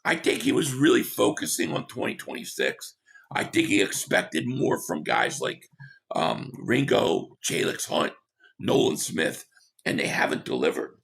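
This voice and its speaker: A man hosting a sports talk livestream, hoarse and losing his voice, reading chat comments and giving rambling, opinionated takes. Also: low voice, very animated, measured pace, a bit bright. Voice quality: hoarse, gravelly. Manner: rambling, opinionated, repeats himself.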